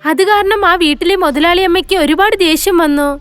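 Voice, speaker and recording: A woman's voice: very high voice, a little fast, a bit bright, no room sound. A woman narrating a scripted moral story for children.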